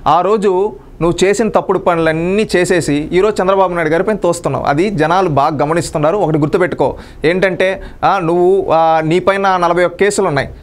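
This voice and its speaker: A man's voice: high-pitched, steady tone, fast. A man gives unscripted political commentary, accusing and scolding his opponents with pointed rhetorical questions.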